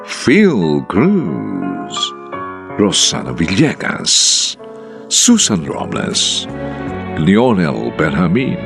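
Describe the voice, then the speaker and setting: A man's voice: deep voice, creaky. A man with a Filipino accent announcing a radio drama in a dramatic scripted style. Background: music.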